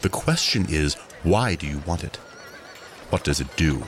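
An actor playing a stern, proud archangel warrior with a solemn, commanding manner in an audio drama. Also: male voice, deep voice, animated, normal speed, a little hiss or hum. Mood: defiant.

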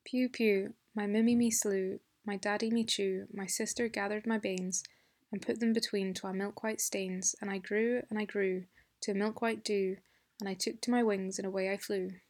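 A woman's voice: medium pitch, little pitch movement, moderate pace, a little mellow, close to an everyday voice. Woman reciting as a small magic songbird. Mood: chanting. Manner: chanted rhyme.

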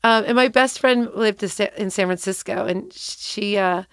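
A woman's voice: mid-range voice, moderate pace, a bit bright, no room sound, studio quality. A woman being interviewed in a casual, chatty way, laughing often and restarting her sentences. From Cincinnati, USA. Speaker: guest, bartender.

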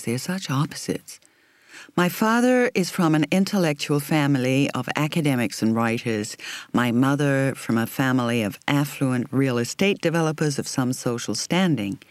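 A woman reading a first-person novel as an audiobook narrator, in a measured, reflective, carefully articulated way. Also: extremely low pitch, darkish tone, no room sound.

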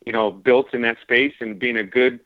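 A man's voice: mid-range voice, fairly even intonation, moderate pace, no room sound. A man hosting a casual radio talk show, talking in earnest, rambling runs. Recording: tinny phone-like radio audio, dry.

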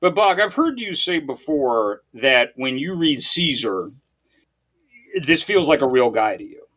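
Bright-voiced man musing on history. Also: medium pitch, very animated. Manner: thoughtful, halting, quotes sources aloud. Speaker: podcast host. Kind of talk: casual history discussion.

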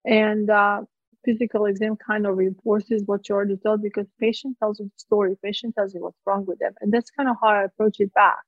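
A woman's voice: medium pitch, little pitch movement, fairly quick, dry recording. A woman in her forties from Croatia with a Croatian accent, a physician earnestly explaining her approach in an interview, with frequent fillers and restarts. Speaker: physician guest. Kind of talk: conversational interview answers. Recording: dull, dry, slightly lo-fi sound.

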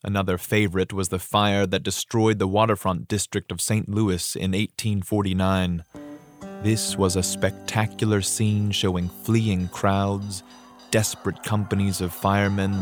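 Male actor, lowish register, for a measured dramatic book reading with light musical underscore. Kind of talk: dramatic audiobook-style reading.

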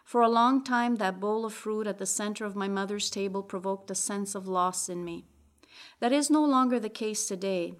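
Woman from italy, grew up on venetian dialect, reads her writing slow and careful, mid pitch. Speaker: writer. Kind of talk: reading her own prose aloud.

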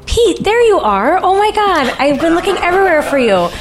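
A woman playing an excitable, astonished friend who gushes and exclaims in live improvised comedy theater. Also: extremely high pitch, moderate pace. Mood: excited, surprised. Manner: gushing, exclaiming.

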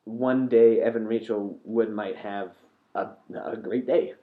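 Low-voiced rambling man, lo-fi podcast. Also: steady tone, takes it slowly. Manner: rambling, swears freely, trails off mid-sentence. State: losing his voice. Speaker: podcast co-host. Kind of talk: casual chat. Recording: dull lo-fi sound.

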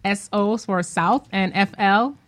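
Woman with mid-pitched voice, casual radio guest. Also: takes it slowly. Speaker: guest, homeschool group organizer.

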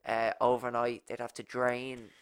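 Young Irish guy, nasal and gravelly, smooth tone, dry close mic; casual podcast host. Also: mid-range voice, completely flat delivery, unhurried, no room sound. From Ireland. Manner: rambling storytelling with fillers. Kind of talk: casual chat.

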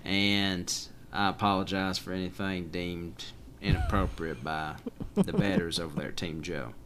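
A man co-hosting a podcast, joking in a wry, deadpan way. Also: low voice, a little slow, background noise. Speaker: podcast co-host. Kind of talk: casual chat.

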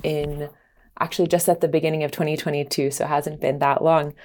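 A woman, a sustainability consultant, explaining her career thoughtfully with frequent pauses. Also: low-pitched, flat delivery, a little mellow. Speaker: sustainability consultant, studio co-lead. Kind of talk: casual interview answers.

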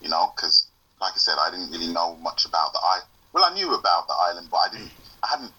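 Low-voiced earnest man, far from mic. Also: animated, fast, sharp tone, breathy edge. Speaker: advocate. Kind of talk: casual interview answers.

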